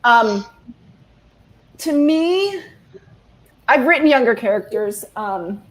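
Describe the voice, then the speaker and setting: A woman's voice: a little high, expressive, takes it slowly, rough recording. A woman in her forties, a guest author chatting casually, rambling with many restarts and fillers. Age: 40s. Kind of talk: casual video-call chat.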